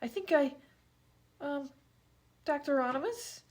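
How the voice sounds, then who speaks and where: A woman's voice: sits high, lively intonation, slow pace, darkish tone. A woman playing a sardonic, exasperated patient in a comedy radio play, incredulous at what she is told. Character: wisecracking, exasperated female patient with a broken foot.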